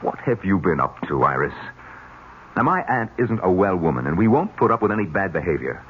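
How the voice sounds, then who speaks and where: Low, scornful, scheming man. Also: sing-song, brisk. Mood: stern. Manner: curt, sarcastic. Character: impatient, scornful scheming nephew of a wealthy aunt. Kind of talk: old-time radio drama acting. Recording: muffled hissy old radio recording.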